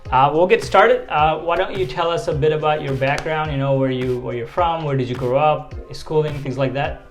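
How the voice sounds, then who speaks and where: A man's voice: fairly high pitch, normal speed, faint music behind. A man in his late twenties hosting a casual interview, upbeat and informal. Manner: upbeat, informal greetings, short backchannels. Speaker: host.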